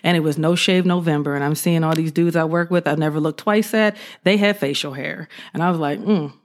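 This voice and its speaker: A woman police officer telling an animated story in an interview, acting out what she said at the time. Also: deep voice, brisk. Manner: animated, acts out her own quotes.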